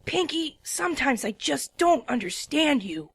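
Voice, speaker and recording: A woman's voice: sits high, big pitch swings, a little slow, creaky, no echo, full character voice. A woman doing a grumpy little boy dragon. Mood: exasperated. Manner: grumbling and exasperated. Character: exasperated young male baby dragon helper. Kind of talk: fan dramatic reading.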